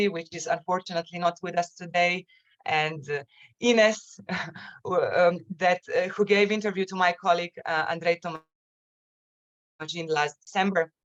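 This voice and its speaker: A woman speaking in a webinar as a journalist, haltingly and often repeating words, over an online call. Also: on the low side. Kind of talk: webinar presentation and answers. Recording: muffled, lo-fi online call, cutting out.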